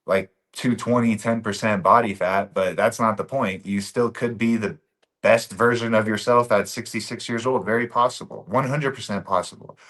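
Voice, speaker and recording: A man's voice: lowish voice, steady tone, normal speed, a bit bright. A man from Bosnia, a podcast guest talking casually and earnestly, often repeating his key phrases for emphasis.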